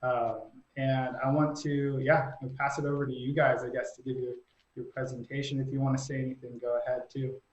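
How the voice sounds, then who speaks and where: Roomy, slightly muffled call audio surrounds a man with a mid-pitched voice. He chats casually as the host of a stream. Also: flat delivery, a little fast. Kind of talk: casual chat.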